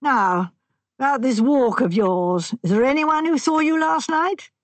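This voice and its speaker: A woman's voice: high-pitched, animated, unhurried, no room sound, voice pushed up. A woman reading a stern male police inspector who questions bluntly and without emotion. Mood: probing.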